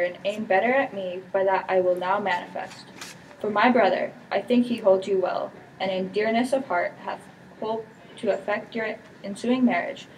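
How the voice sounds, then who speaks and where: A woman's voice: mid-range voice, a little mellow, lightly acted. A teenage girl playing a scheming, slanderous nobleman in an amateur Shakespeare performance. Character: scheming, slanderous villain, the prince's brother. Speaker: student performer. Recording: distant mic with background noise.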